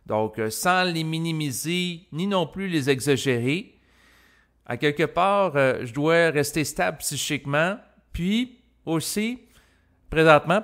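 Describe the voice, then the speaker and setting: A man from Quebec with a Québécois French accent, speaking an unscripted reflective monologue to listeners in a halting, roundabout way with many hesitations. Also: fairly high pitch, a bit bright. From Quebec, Canada. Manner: halting, roundabout, with frequent hesitations and long lead-ins. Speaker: host of spiritual self-help recordings.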